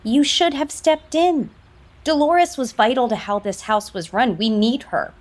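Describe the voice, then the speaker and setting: A woman's voice: on the high side, measured pace. A woman acting in an audio soap opera as a stern, critical older sister and company executive. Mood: reproachful. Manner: firm, reproachful.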